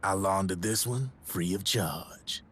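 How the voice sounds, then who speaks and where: Deep, husky, slick arms dealer. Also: male voice, fairly bright tone, heavily acted. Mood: sly, casual. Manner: smooth-talking, measured, dramatic storytelling. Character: slick, worldly male arms dealer, coolly knowing storyteller. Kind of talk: video game cutscene acting.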